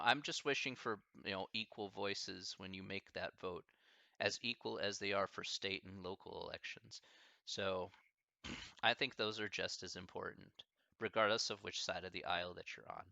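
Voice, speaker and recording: A man's voice: lowish voice, gravelly, pushed and bright, dry recording, muffled recording. A man with a Midwestern American accent, a math instructor chatting casually with his online class, thinking aloud with lots of fillers.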